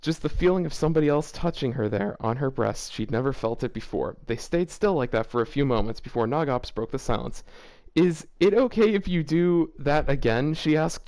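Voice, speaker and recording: A man's voice: medium pitch, moderate pace. A young man reading a fanfiction story aloud, breaking off to laugh and react. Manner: laughs often, animated reactions. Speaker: fanfiction reader. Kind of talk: comedic reading aloud with commentary.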